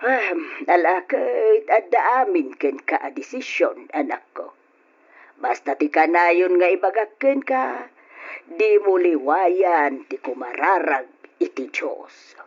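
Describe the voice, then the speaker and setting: A woman playing a caring, devout older mother in a radio drama, with a husky voice and an Ilocano accent, speaking with emotion. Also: lowish voice, expressive, slow, heavily acted. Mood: sorrowful, tender. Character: caring, devout older mother comforting her grown daughter. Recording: dull old radio drama recording.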